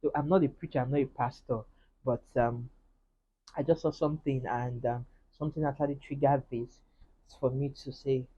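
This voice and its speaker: Husky Nigerian woman sharing a testimony. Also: a bass voice, fairly even intonation, normal speed, warm tone, dull audio. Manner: earnest, heartfelt, talks straight to viewers. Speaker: vlogger. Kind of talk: casual personal testimony.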